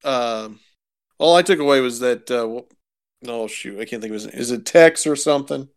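A man's voice: medium pitch, normal speed, clear, resonant tone. A man chatting casually as a podcast co-host. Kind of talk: casual chat.

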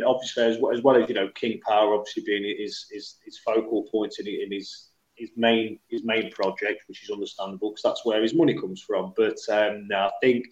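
A man on a football fan podcast, talking in a rambling, halting way full of restarts and fillers. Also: fairly low pitch. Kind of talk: casual chat. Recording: roomy, dull lo-fi sound.

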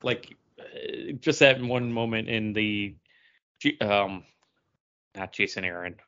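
A man's voice: lowish voice, takes it slowly, sharp tone. Guy podcast cohost just chatting, kinda rambly, repeats himself, slightly muffled audio. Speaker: podcast co-host. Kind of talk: casual comic book chat.

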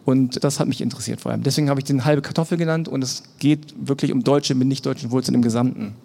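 A man's voice: medium pitch, quick pace, vocal fry. A man from Germany, a podcast guest, talking casually on a live panel and acting out the voices of people he quotes. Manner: relaxed, acts out quoted voices of others. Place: live event at an embassy.

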